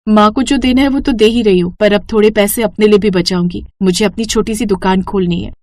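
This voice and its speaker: A woman's voice: mid-range voice, fairly even intonation, brisk, a little mellow, no echo, lightly acted. A woman voicing a meek, sorrowful young stepdaughter in a cartoon story, plaintive and pleading, with some background noise. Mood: quietly determined.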